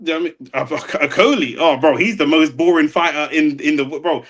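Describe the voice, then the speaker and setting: Fast-talking young man, blunt. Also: a little high, fairly bright tone. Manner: blunt, opinionated, rapid street slang. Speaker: boxing podcast co-host. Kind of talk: casual chat.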